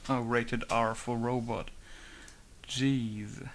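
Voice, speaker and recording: Man, unhurried, gravelly, low-key and wry, talking through a mod tutorial at his desk mic. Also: level tone, slight background noise. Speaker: game modder. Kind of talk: casual screen-recorded tutorial commentary.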